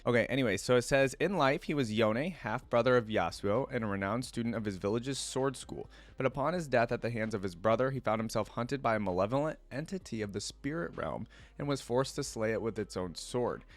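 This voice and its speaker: A man giving casual reaction commentary, reading aloud and thinking out loud in an informal, musing way. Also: medium pitch, lively intonation, fairly quick, few pauses. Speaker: reaction video creator.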